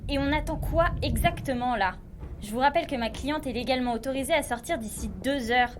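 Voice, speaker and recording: A woman's voice: high voice, fairly quick, background noise, close to an everyday voice. An actress playing an impatient, indignant woman defense lawyer in a French audio drama, curt and formal. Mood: exasperated.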